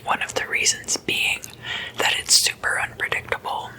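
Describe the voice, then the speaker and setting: A person whispering in a breathy, unvoiced voice, chatting and explaining as an ASMR host in a deliberate manner. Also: poor audio. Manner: slow, deliberate, with drawn-out pauses.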